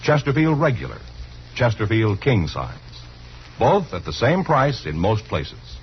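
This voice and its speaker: Very animated American radio announcer, male. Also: fairly low pitch. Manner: emphatic, measured salesmanship. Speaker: commercial announcer. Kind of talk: 1950s radio advertisement read. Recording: dull old radio broadcast, faint vehicle noise. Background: faint truck engine noise.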